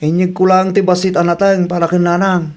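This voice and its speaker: A man's voice: high voice, level tone, a little fast, close to an everyday voice. A man plays an agreeable young Ilocano husband in an audio drama. He is modest and easygoing, speaking with fond devotion as a family man. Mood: modest, reassuring.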